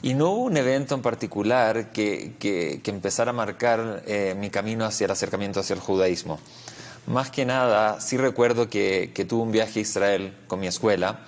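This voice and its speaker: A man with a Chilean accent speaks on the low side as an interview guest. He is a rabbi who explains things reflectively, often repeating a word while he finds the next phrase. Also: normal speed.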